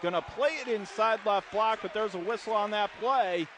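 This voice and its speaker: Male radio play-by-play caller, high register, projected and excitable, courtside amid loud arena noise. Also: normal speed. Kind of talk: live basketball play-by-play commentary. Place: courtside in an indoor college arena. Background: gym noise, bouncing basketballs.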